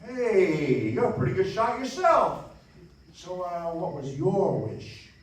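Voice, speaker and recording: Eager, awkward young dreamer for a stage radio play; stammering, highish voice, heavily echoing hall. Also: male voice, sing-song, takes it slowly, heavily acted. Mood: eager, boastful. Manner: stammering, hesitant, rambling. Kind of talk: live radio play acting, 1940s style. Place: live stage in a dance hall. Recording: very echoey hall, distant mic, faint noise.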